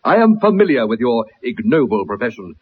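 An actor playing an irascible older colonel, imperious and formal, in 1960s radio drama style. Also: male voice, medium pitch, very animated, fairly quick, breathy edge. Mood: furious. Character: irascible old colonel, blustering, commanding father. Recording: muffled, noisy old radio recording.

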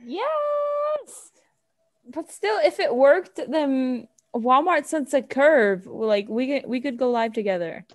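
A woman's voice: high voice, big pitch swings. A teenage girl in playful, bubbly chat with a friend. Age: teens. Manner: bubbly, fast back-and-forth, playful. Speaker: co-host. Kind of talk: casual chat.

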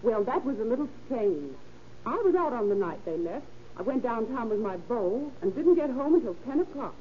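A woman's voice: on the high side, very animated, moderate pace. An actress playing a chatty, helpful young landlady in a 1930s-style radio crime drama. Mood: helpful, matter-of-fact. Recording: muffled, noisy old radio recording.

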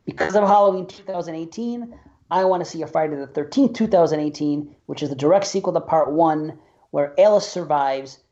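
A man's voice: on the high side, fairly quick, mellow, dull audio. A man co-hosting a casual podcast, rambling loosely and enthusiastically with plenty of swearing. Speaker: podcast co-host. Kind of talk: casual chat.

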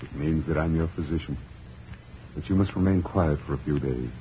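An actor playing a courteous, admiring physician in 1940s radio drama style, polite and gently persuasive. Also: male voice, very deep voice. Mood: warm admiration. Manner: polite, measured, theatrical. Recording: dull, noisy old radio recording.

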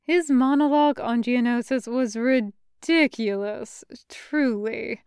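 A woman voicing a composed, restrained Jedi man, flat and dryly understated, in a sci-fi audiobook. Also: a little high, takes it slowly, clear, resonant tone, no echo, studio quality.

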